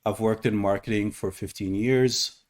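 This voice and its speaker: A man from the United States hosts an interview over a remote call. His voice sits a little low, and he speaks in a brisk, polite, businesslike way. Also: fairly even intonation. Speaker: host, interviewer. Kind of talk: interview hosting.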